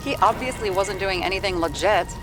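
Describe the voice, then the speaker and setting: An actress playing a skeptical police partner in a noir video game, blunt, questioning and sarcastic. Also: female voice, mid-range voice, brisk, over music, close to an everyday voice. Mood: exasperated.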